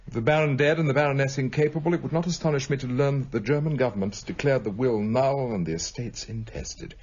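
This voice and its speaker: An actor playing a cerebral, grave British gentleman detective in a radio drama, speaking formally and articulately. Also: mid-range voice, fast, slightly rough, highs cut off, natural voice. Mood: grave, reflective.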